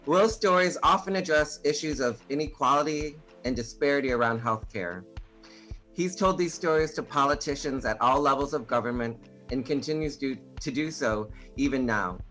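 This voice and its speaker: A male host reads a scripted introduction of the next speaker. He speaks at a slow, deliberate pace in a mid-range voice, with faint music behind him. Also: mid-range voice, fairly bright tone. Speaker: event host.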